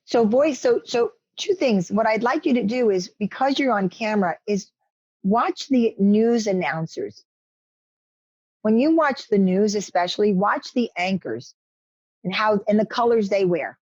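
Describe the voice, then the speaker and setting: A woman's voice: medium pitch, lively intonation, a little slow, darkish tone, no room sound, highs cut off. New York woman coaching on a call. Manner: coaching, direct, addresses attendees by name. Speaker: media trainer guest. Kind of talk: advice on a video call talk show. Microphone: headset on a video call.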